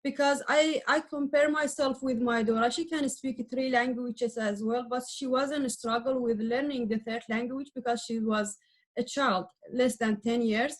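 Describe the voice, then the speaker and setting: A middle-aged woman from Iran whose first language is Arabic, answering interview questions in English with many pauses and restarts as she searches for words. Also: on the high side, fairly even intonation, rough recording. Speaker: adult learner guest.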